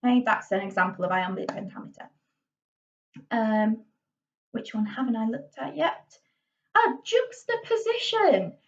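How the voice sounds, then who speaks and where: A woman's voice: on the high side, expressive, a little slow, pauses often, far from the mic, muffled recording, a little hiss or hum. A woman with a Yorkshire accent giving a casual drama lesson, chatty and full of ums and little restarts. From Yorkshire, England. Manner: chatty, with many ums and self-corrections. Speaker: drama teacher.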